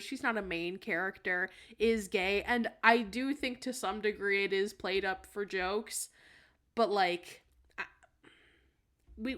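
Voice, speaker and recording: A young woman with an American accent talks as a podcast panelist. Her voice sits at an average pitch. She argues her point casually, rambling and doubling back on words. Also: a bit bright. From United States. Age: young adult. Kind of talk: casual argumentative podcast talk.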